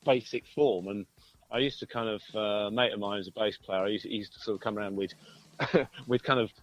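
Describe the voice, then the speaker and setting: A man's voice: fairly low pitch, brisk, low-quality recording. A man, a musician being interviewed, talking casually in a hesitant, rambling way. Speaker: band musician, interview guest.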